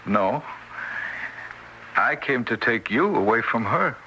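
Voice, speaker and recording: An actor playing a bumbling, meek, kindly ghost guide who stammers deferentially, in old-time radio drama style. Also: male voice, medium pitch, animated, takes it slowly, bright, forceful tone, dull audio. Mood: gentle, resolute. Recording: hissy vintage radio play recording.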